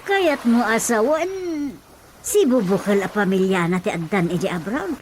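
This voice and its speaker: A woman's voice: medium pitch, very animated, normal speed, a little hiss or hum, natural voice. A woman acting a skeptical, scolding housewife in an Ilocano comedy radio drama. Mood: questioning.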